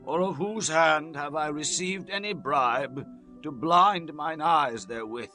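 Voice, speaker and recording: A man acts out a stern old prophet in a dramatized scripture reading. The voice is high and forceful, declaiming solemnly and slowly to his people. Soft music plays underneath. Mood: solemn challenge. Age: older. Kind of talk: dramatized King James Bible reading.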